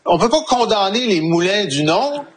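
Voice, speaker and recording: A man's voice: sits high, very animated. A man commenting on a TV panel, building his point through extended metaphors. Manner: figurative, measured argument.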